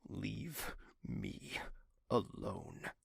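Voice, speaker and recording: A weary young man who narrates his own audio drama, bitter and despairing, as an actor plays him. His voice is gravelly and unhurried, with slow, heavy phrasing. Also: on the low side, big pitch swings, no room sound, studio quality, exaggerated voice. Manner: weary and bitter, snapping into anger. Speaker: narrator and lead character.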